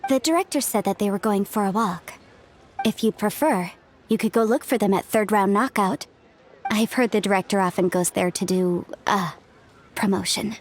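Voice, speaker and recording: A woman's voice: medium pitch, normal speed, a little hiss or hum, close to an everyday voice. A woman voicing a polite, helpful clerk at a front desk.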